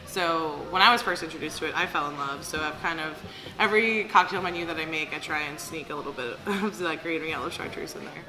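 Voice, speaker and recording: A woman's voice: deep voice, a little fast, rough recording. A woman who manages a bar, talking eagerly and chattily in an interview, with plenty of ums and you knows. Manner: chatty, eager, many fillers. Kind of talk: casual interview. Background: background music.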